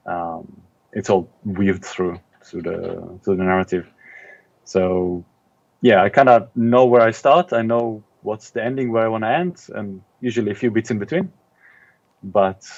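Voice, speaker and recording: Low-voiced man from Israel, hesitant. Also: creaky, lo-fi sound. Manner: thoughtful, many pauses and ums. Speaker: author being interviewed. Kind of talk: casual interview chat.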